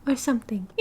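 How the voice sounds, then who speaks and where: A woman's voice: very high-pitched, exaggerated voice. A British woman playing a cheerful, oblivious and possessive yandere girlfriend in an ASMR roleplay, with a breezy, giggly, dramatic manner. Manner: giggly, breezy, dramatic, chatty with drawn-out casual delivery.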